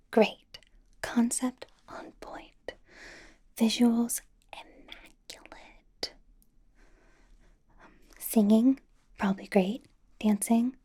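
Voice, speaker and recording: Breathy, whispering big-sister K-pop idol played by a woman. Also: fairly high pitch, warm tone. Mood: cheerful, supportive. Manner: encouraging, rambling, playfully reassuring. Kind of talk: ASMR roleplay with personal attention.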